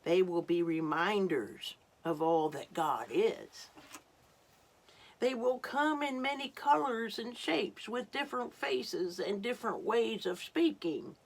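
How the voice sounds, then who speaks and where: Ancient female turtle, played by a woman storyteller. Solemn, gentle sage for a children's storybook; very slow, deliberate, emphatic delivery. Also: medium pitch, gravelly, voice pushed up. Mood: prophetic, tender. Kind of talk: storybook read-aloud for children.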